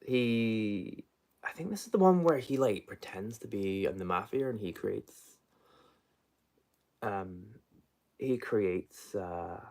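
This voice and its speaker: A man's voice: on the low side, animated, slow pace, gravelly, pauses often, a little mellow. A man hosting an unscripted book livestream, chatting casually in a rambling, hesitant way and often trailing off mid-thought.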